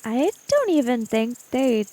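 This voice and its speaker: High-pitched woman, unhurried game player. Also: big pitch swings, dark tone. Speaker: player in a tabletop roleplaying game. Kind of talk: casual in-character table chat.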